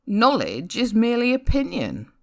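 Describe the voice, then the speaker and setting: Preachy, insistent young New Age believer woman performing, spoken-word piece, lively swooping intonation, declamatory. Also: a little high, normal speed, pitched above the performer's own voice.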